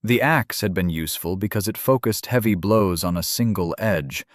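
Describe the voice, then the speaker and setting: A man narrating a fantasy audiobook in a measured way, reading out game item descriptions. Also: deep voice, no room sound, clean studio sound.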